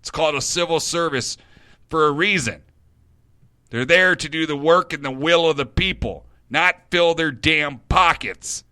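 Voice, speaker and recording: A male radio host talks straight into a studio mic in a blunt opinion monologue. His voice is forceful and bright, smooth and clear, with a dry, close sound. He leans on repetition to hammer his points home. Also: on the high side, unhurried, no echo. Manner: emphatic, repeats words for stress, blunt.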